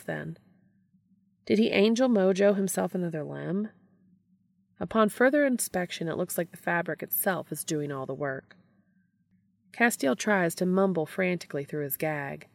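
A woman's voice: fairly low pitch, gravelly, darkish tone, no echo, studio quality. A woman narrating a scripted piece of fiction as a story reader.

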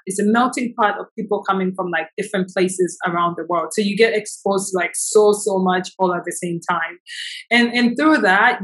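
A woman's voice: mid-range voice, fairly bright tone, low-quality recording. Enthusiastic woman from Tanzania, interview guest. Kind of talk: conversational interview answers.

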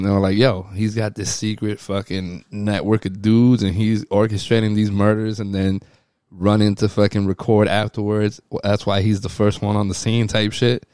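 A man's voice: on the low side, a little mellow, smooth, no room sound. A man co-hosting a casual TV chat, talking in street slang with frequent swearing. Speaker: podcast co-host. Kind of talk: casual chat about TV shows.

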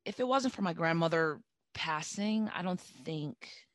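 A woman with a deep voice, a singer chatting as a guest in an interview. She talks reflectively and restarts her sentences often. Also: expressive, dry recording. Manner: reflective, halting, with many restarts. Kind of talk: casual interview chat.

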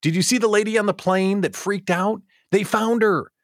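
A man's voice: high voice, steady tone, normal speed, no echo, studio quality. A man hosting a daily live online show in an upbeat, chatty style, talking straight to listeners with theatrical announcer-like emphasis and rhetorical questions. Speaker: host of a daily live small business show.

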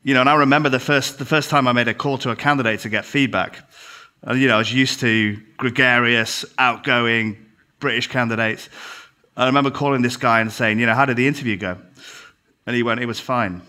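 A man on a podcast, a recruitment business owner, telling lively anecdotes and acting out quoted dialogue. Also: mid-range voice, fairly quick. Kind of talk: casual podcast chat.

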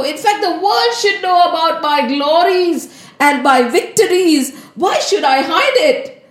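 A woman voicing a boastful, gleeful male sage in a told story, exclaiming and repeating grand claims. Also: a little high. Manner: exclamatory, self-glorifying, repeating boasts emphatically. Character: boastful, gleeful male sage gloating over his victory. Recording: echoey room.

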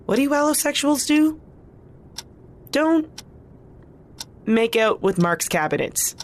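A woman acting in an audio drama as a weary, dry-humored minder with a deadpan, exasperated manner. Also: high voice, slow pace, heavily acted. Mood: dry exasperation.

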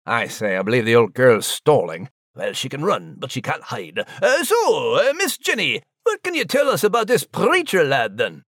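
A man's voice: medium pitch, wildly swooping pitch, moderate pace, hardly any pauses, fairly bright tone, no echo, studio quality. A man co-hosting playful, chatty banter in character voices.

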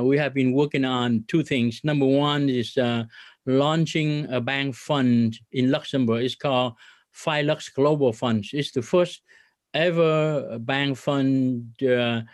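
A man's voice: mid-range voice, dry recording. A middle-aged businessman from Vietnam speaks as a podcast guest. He talks earnestly, often stopping on fillers, and his voice sounds dry and close with no room around it. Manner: earnest, many filler pauses, religious phrasing. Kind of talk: podcast interview.

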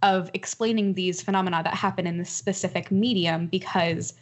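A woman's voice: lowish voice, steady tone, a little fast. A woman making her case earnestly and articulately in a critical panel discussion. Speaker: roundtable panelist.

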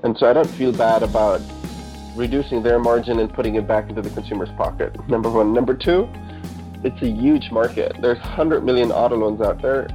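A man's voice: medium pitch, brisk, dark tone, faint music behind, poor audio. A man from Germany, a guest entrepreneur, giving organized, articulate interview answers. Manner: articulate, organized, explaining points in order.

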